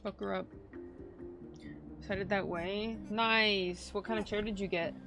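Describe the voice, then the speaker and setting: Creaky-voiced woman streaming a game. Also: mid-range voice, steady tone, measured pace. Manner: informal, swearing, reacting in short bursts. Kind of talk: casual livestream chat over gameplay. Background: video game music and dialogue.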